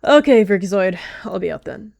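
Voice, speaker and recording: A woman's voice: medium pitch, lively intonation, moderate pace, creaky, darkish tone, no echo, clean studio sound. A woman voicing a flustered, awkwardly affectionate cat in a story roleplay, with a teasing manner. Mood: awkward, flirty.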